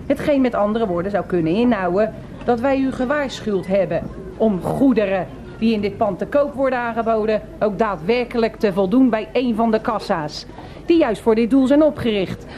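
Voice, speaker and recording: An actress plays a pompous female store detective in a radio drama. Her voice is on the high side, her phrasing stiff, formal and long-winded, as befits an unbending bureaucrat. A faint noise lies behind her. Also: expressive, normal speed, mellow, lightly acted. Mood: officious. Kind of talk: radio play acting.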